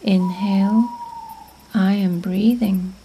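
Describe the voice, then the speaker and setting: A woman narrating a guided meditation in a gentle, soothing, hushed manner, with phrase endings fading toward a whisper. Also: mid-range voice, fairly even intonation, takes it slowly, a little hiss or hum, poor audio.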